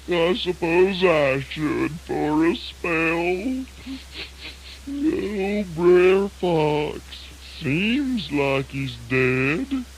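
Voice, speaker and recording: An actor playing a sly, folksy trickster rabbit in a children's radio drama, with a musing Southern American drawl. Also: male voice, sits high, exaggerated voice, poor audio. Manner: slow drawl, musing aloud, exaggerated whoops. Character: sly, folksy male trickster rabbit, mock-mournful and gleeful. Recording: hissy 1980s radio drama recording.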